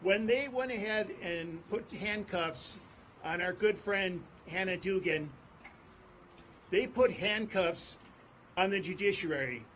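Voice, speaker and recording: High-voiced man giving fiery speech. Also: takes it slowly, slight background noise. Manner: forceful, repetitive rally cadence. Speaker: advocacy group speaker. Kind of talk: impassioned press conference speech. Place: outdoors on court steps.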